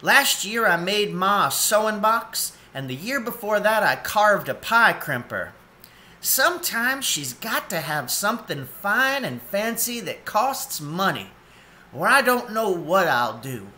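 Young otter boy for a storybook man reading: determined, wistful, slow-paced, forward bright tone. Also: sits high, sing-song, voice pushed up, heavily acted.

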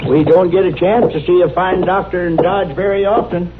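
An actor playing a polite, flattering townsman in an old radio western, deferential in manner. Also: high-pitched, normal speed, close to an everyday voice. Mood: eager, polite. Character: courteous, flattering male townsman seeing off a guest. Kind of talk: 1950s-60s radio western acting. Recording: tinny, very noisy old radio recording.

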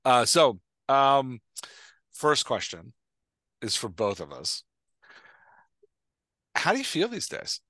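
A man in his forties with a very lively, swooping delivery and a bright, forceful voice. He banters casually as a podcast host, joking at his own expense, close and dry on a headset mic. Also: mid-range voice, takes it slowly, pauses often, no echo. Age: 40s. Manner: jokey, self-deprecating. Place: indoor room, on a video call.

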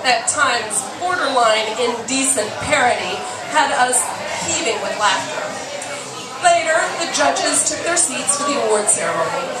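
Woman reading her story live, really high voice, theatrical, recorded from back in the room w music. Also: distant mic. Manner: theatrical, emphatic delivery with expressive gestures. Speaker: memoir author reading on stage. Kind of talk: live storytelling, reading a memoir excerpt aloud. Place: small dim bar stage, indoors. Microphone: stand microphone, filmed from the audience. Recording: roomy stage PA heard from off, music.

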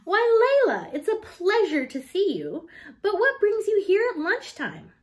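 A woman's voice: very high-pitched, huge pitch swings, measured pace, pitched above the performer's own voice, exaggerated voice. A woman voicing a cheery, welcoming school librarian in a children's storybook, effusive in manner. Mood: pleasantly surprised.

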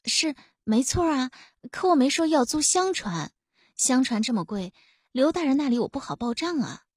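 A woman's voice: sits high, animated, normal speed, slightly rough, no echo, clean studio sound, voice pushed up. A woman voicing an eager, cheeky young female constable who wheedles and pleads in an ingratiating way. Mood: wheedling protest.

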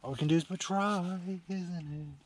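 Slow-talking man, noisy outdoor recording. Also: sits high. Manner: informal, enthusiastic, peppered with "man" and "dude". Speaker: angling vlogger. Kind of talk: casual running commentary to camera while fishing. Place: outdoors at night on a beach by a small shelter. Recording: clearly noisy outdoor location sound.

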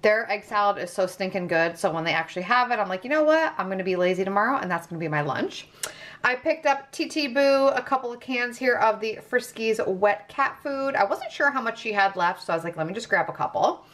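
A woman's voice: mid-range voice, lively intonation, a little fast, a bit bright. A woman chatting casually as a vlog host, talking through things in an emphatic, conversational way, recorded indoors at home on a camera. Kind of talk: casual grocery haul narration, chatty.

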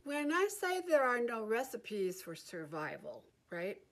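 A woman's voice: fairly high pitch, big pitch swings, a little slow. A woman speaking in a reflective personal narrative.

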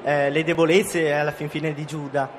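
A man's voice: fairly high pitch, flat delivery, moderate pace, slight background noise. A teenage boy who is a student actor, answering interview questions hesitantly with many fillers.

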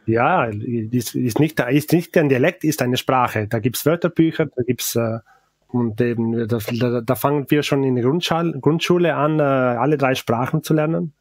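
Male brewer guest, Ladin native, accented German, halting and hesitant, dry close recording. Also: mid-range voice, no room sound. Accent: Ladin-Italian accent in German. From South Tyrol, Italy. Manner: halting, searching for words, many hesitations. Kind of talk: casual interview.

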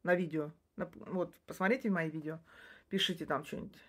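A woman's voice: fairly low pitch, steady tone, fairly quick, breathy edge. Chatty woman rambling to her phone. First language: Russian. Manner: chatty, rambling, frequent short laughs and restarts. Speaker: social media creator. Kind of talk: casual talk to camera. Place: small room indoors by a curtain. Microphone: phone camera close up.

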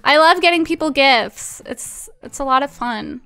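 A woman's voice: sits high, normal speed, pushed and bright, soft background music. A woman in her twenties chatting casually and informally as she streams a game, with plenty of "like" in her speech. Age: 20s. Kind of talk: casual live-stream chat while playing a game. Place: small indoor room at home.

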